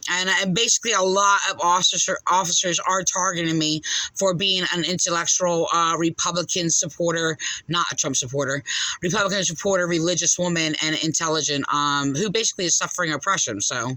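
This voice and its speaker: A woman's voice: fairly low pitch, steady tone, brisk, pushed and bright, no echo, poor audio. An agitated woman caller making a rambling, insistent, repetitive complaint over the phone.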